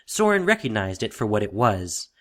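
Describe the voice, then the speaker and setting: A man narrating a scripted fantasy story in a measured, dramatic way. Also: fairly low pitch, very animated, fairly bright tone.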